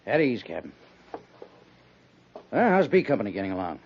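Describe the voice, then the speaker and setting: An American man playing a brisk, stern but understanding army major in a 1950s radio drama. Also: lowish voice, big pitch swings, creaky, slight background noise. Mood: wry, stern. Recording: muffled 1950s radio recording.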